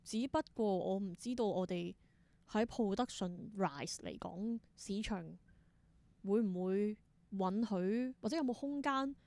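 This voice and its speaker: A woman's voice: mid-range voice, unhurried, a little mellow, dry recording. A woman with a Hong Kong Cantonese accent, speaking thoughtfully as an interview guest and mixing in English words. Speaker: interview guest, theatre performer and creator. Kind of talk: reflective interview conversation.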